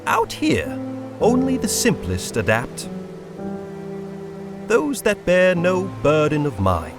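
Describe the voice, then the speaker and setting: Man acting a very slow, brooding wandering bug warrior. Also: on the high side, wildly swooping pitch, over music, full character voice. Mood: solemn, musing. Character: solemn wandering bug warrior crossing a wasteland. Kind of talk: dramatic monologue, video game lore dub.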